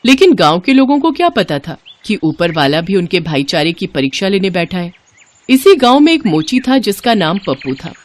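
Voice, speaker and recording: A woman's voice: lowish voice, sing-song, moderate pace, dry recording. A woman narrating a scripted moral story as a storyteller.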